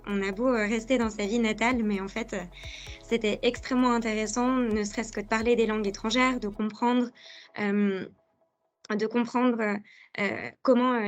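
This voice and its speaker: Young woman, slightly high voice, unscripted and hesitant webinar talk, soft music underneath. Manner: hesitant, many fillers and restarts. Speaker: graduate speaker in a webinar. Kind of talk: unscripted personal account.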